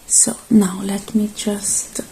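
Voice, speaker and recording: A woman performs a soft-spoken ASMR roleplay, drifting into whispers. Her voice is mellow and slow, with a halting, reassuring manner, over faint background noise. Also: mid-range voice, flat delivery, rough recording. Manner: gentle, hushed, halting, reassuring. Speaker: ASMR performer playing a robot repair technician.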